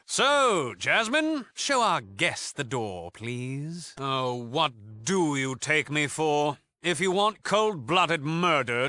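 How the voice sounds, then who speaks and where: A man's voice: mid-range voice, bright, forceful tone, no room sound, full character voice. A man with a British accent playing a cocky, mock-polite thief gang leader in a video game, theatrical and sarcastic. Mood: coolly condescending.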